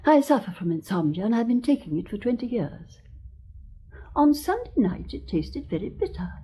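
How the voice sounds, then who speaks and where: A woman's voice: a little high, big pitch swings, moderate pace, warm tone, a little hiss or hum. An actress playing a dainty, genteel elderly widow who tells her story in earnest, precise sentences in a radio drama. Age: older. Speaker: witness.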